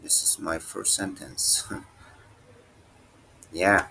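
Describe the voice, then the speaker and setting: Slow, halting man teaching a lesson. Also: deep voice, level tone, vocal fry, sharp tone. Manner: halting, thinks aloud, restarts and fillers. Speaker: language teacher. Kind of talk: casual screen-share lesson.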